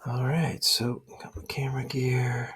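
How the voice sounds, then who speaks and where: A man speaking softly for ASMR close to the microphone, breathy and often near a whisper, in a hesitant, rambling murmur. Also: mid-range voice, monotone, a little slow. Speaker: ASMR creator playing a spy dad showing gear. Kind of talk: ASMR roleplay, soft-spoken.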